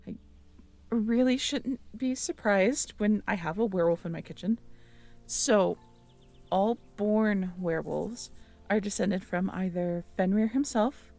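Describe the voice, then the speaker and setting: A woman acting a caring, teasing, curious young girlfriend in an intimate roleplay audio drama, talking softly and conversationally to the listener. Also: medium pitch, unhurried, creaky, faint music behind. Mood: playful teasing. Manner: soft, intimate, conversational, playful.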